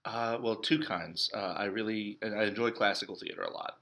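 Man in his thirties, deep voice, bright edge, casual chat, thoughtful phrasing. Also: fairly even intonation, normal speed. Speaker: interview guest, stage actor. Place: indoors, small hall with cinder-block walls.

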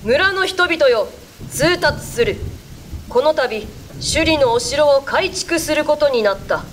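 A male actor playing an imperious, threatening samurai envoy, proclaiming loudly in a radio drama. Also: very high voice, lively intonation, slow, a little hiss or hum, full character voice. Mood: commanding. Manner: loud, haughty proclaiming.

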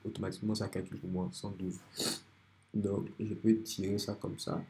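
A man with a cold, calmly walking through a software design tutorial step by step over a screen recording. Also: fairly low pitch, normal speed. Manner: relaxed, step-by-step explaining with many restarts. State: has a cold.

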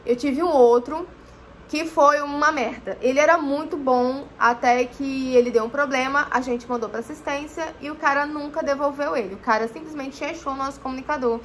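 A young woman talks casually to the camera from her living room, chatty and loose with her fillers. Her voice sits high. A faint hiss runs behind her. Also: steady tone, normal speed, a bit bright. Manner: chatty, often says "gente", many fillers. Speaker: motorcycling vlogger.

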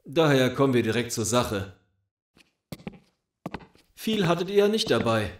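An actor playing a smug, softly menacing gang boss interrogator in an audio drama, mock-polite, taunting and deliberate. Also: male voice, mid-range voice, sing-song, echoey room, slight background noise.

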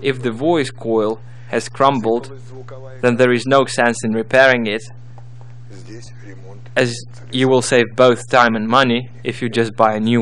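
Guy narrating a how-to, scripted instructions, normal mid pitch voice. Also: a bit bright. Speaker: tutorial narrator.

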